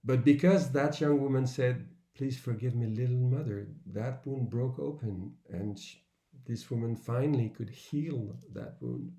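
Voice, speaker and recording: A man giving an earnest, measured public talk with personal anecdotes, in broken phrases with pauses. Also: medium pitch, mellow. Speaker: author and workshop speaker. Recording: roomy, echoing sound.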